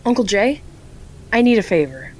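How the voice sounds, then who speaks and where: A woman's voice: mid-range voice, very animated, moderate pace, darkish tone, slight background noise, lightly acted. An actress playing an earnest, hesitant young woman who works for a charity. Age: young adult.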